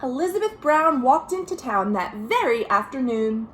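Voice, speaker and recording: An American woman, a children's librarian, reading a picture book aloud in an expressive, sing-song way with chatty asides to young listeners. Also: high-pitched, moderate pace, roomy sound, slight background noise, poor audio. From United States. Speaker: children's librarian hosting a virtual storytime. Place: at home.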